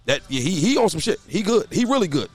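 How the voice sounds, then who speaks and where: A hoarse man hosting a casual talk show, often stammering and repeating his words as he speaks outdoors. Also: high voice, very animated, fast talker. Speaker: podcast host and youth basketball coach. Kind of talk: casual chat. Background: faint background music.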